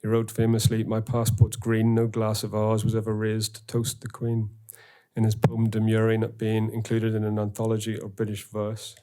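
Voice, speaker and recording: A middle-aged man with a Northern Irish accent giving an academic lecture in a university auditorium, reading poems aloud in a measured way. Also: lowish voice, completely flat delivery, warm tone, smooth. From Northern Ireland. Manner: measured, reads quotations slowly.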